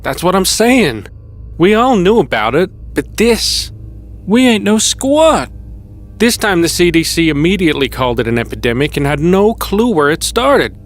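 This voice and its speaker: An actor playing a wary older male survivor in an audio drama, gruff and folksy. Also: high voice, very animated, normal speed, soft background music. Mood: insistent, suspicious. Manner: folksy, plain-spoken. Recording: clear background noise.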